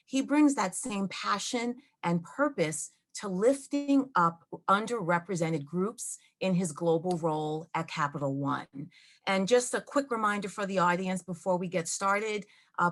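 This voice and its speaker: A woman moderating a video-call fireside chat, introducing the guest in a polite, partly scripted way with many fillers. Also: mid-range voice. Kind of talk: event introduction and interview.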